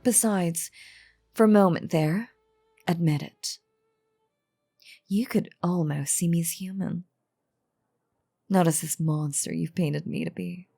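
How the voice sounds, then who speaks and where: Slow, low-voiced vampire woman, acted out by a woman. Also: creaky, warm tone, soft background music, heavily acted. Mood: teasing. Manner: theatrical, archaic, velvety formal phrasing. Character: elegant ancient female vampire, teasing, coaxing, darkly playful. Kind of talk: scripted roleplay audio drama.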